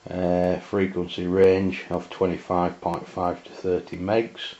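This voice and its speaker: Low, flat-voiced Englishman, dull sound. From England. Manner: halting, matter-of-fact. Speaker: amateur radio reviewer. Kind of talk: casual hands-on product review.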